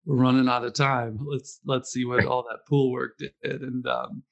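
A man's voice: mid-range voice, flat delivery, moderate pace, gravelly. Rambling man hosting a chat. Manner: rambling, with many fillers and quoted asides. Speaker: podcast host, former runner. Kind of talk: casual interview chat.

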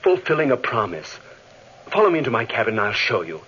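A man's voice: medium pitch, big pitch swings, quick pace, a bit bright. A man playing a haunted, guilt-ridden scientist with anguished, melodramatic delivery in an old radio horror drama. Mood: confessing. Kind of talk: 1940s radio horror acting. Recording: hissy, muffled old radio recording.

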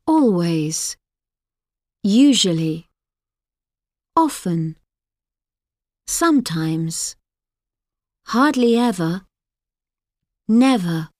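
A woman's voice: on the low side, animated, drawn-out words, pauses often, darkish tone, dry recording, clean studio sound. A woman reading example sentences for language learners, each one carefully enunciated. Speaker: course audio speaker. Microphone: dry studio mic.

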